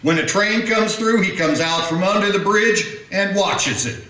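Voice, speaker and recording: An actor playing a grumbling, impatient small-town deputy in a radio play, talking in a folksy way. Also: male voice, high voice, unhurried, pushed and bright. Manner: complaining, folksy drawl of speech. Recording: roomy echo.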